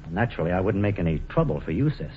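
An actor playing a restrained, disapproving brother who is a state trooper, in a 1940s radio drama. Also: male voice, low voice, very animated, rapid-fire. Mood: stern, reserved. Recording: dull, noisy vintage radio recording.

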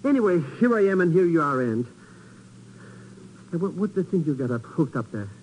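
An actor playing a cheeky, excitable small-time sidekick in a 1950s radio drama, stammering and speaking in colloquial, shaky grammar. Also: male voice, a little high, fairly quick, slight background noise, low-quality recording. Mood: excited. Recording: old 1950s radio recording.

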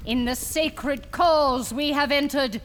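A woman's voice: sits high, takes it slowly, a bit bright, slight background noise. An actress playing a cold, commanding female cult leader in a radio drama, solemn and declamatory in ceremonial speech. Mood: stern, ceremonial.

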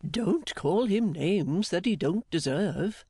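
A man voicing a jolly, blustering older English gentleman in an audiobook, fatherly, emphatic and effusive. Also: high voice, lively intonation, slow, husky, dry recording, clean studio sound, voice pushed up, exaggerated voice. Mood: hearty, excited. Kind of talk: dramatised audiobook character.

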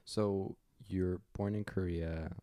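A man's voice: low voice, steady tone, slow pace, dark tone. A man hosting a casual interview, talking in an easygoing way with fillers and repeated words. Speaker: podcast host.

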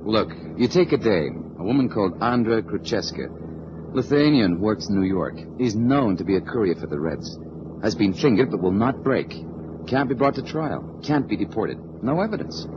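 A man's voice: lowish voice, animated, close to an everyday voice. A man playing a sardonic agent. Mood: wry, skeptical. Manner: sardonic and quick, voice jumping up when arguing. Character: wisecracking male intelligence agent, skeptical. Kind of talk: 1960s radio drama acting. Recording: hissy lo-fi old radio recording.

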